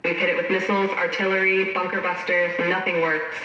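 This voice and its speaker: A man's voice: sits high, flat delivery, a little fast, lo-fi sound. A man playing a hard-line military adviser, speaking urgently and formally in a horror audio drama. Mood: urgent, resolute. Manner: formal, forceful. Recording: very echoey, distant, faint noise.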